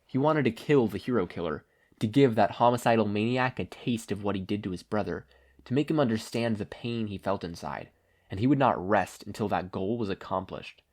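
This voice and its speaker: A man's voice: lowish voice, lively intonation, brisk, a little mellow. A man reading a story aloud in a scripted narration style. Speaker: podfic reader.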